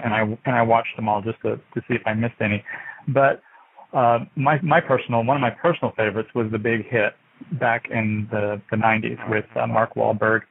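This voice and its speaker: A man hosts an online radio interview, reading out long, halting questions. His delivery stays flat and monotone with a husky edge, and the sound is thin and phone-like. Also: mid-range voice. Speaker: radio show host. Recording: tinny phone line.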